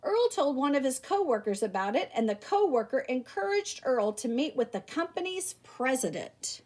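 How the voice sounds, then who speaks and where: A high-voiced woman reads a children's story aloud. Her pitch swings widely as she leans into the emphasis like a teacher addressing young listeners. The delivery is animated and theatrical. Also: normal speed. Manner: animated, theatrical emphasis with exclamations and rhetorical questions. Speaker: teacher reading a picture book aloud.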